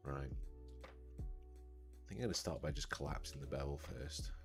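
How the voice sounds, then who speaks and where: Deep-voiced man, slightly croaky throat. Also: measured pace. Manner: relaxed, thinking aloud with fillers and restarts. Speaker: 3D artist streaming a tutorial. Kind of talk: casual live-stream tutorial chat. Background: soft background music.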